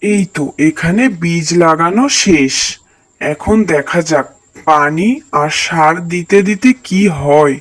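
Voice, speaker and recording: A man's voice: a little high, slow, a bit bright. A man voicing a poor, devout village farmer and father in a moral cartoon, earnest and plaintive. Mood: relieved, eager. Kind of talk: cartoon voice acting, moral tale.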